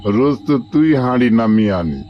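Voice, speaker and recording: A man's voice: medium pitch, expressive, moderate pace, dull audio, soft background music, natural voice. A man playing a grumbling, uneasy village laborer in a cartoon story.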